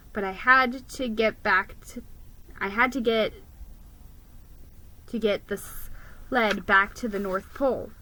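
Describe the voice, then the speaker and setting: A woman giving a dramatic, expressive reading of a children's story, stumbling over the odd word. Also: mid-range voice, poor audio. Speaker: storybook reader.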